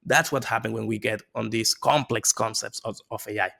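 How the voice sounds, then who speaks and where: Man, gravelly voice, lively casual lecture, keeps asking "okay?" and "right?". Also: lowish voice, a little fast. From Venezuela. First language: Spanish. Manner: energetic, conversational, frequent "okay?" and "right?" tags. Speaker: AI consultant presenting a course. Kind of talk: informal online lecture.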